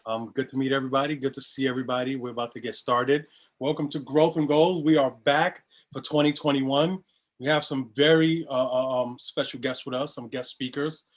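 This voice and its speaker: A man opens an online session as host, speaking casually with plenty of ums. His voice stays mid-pitched and easygoing. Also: brisk. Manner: relaxed, upbeat, many fillers. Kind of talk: casual welcome and introductions.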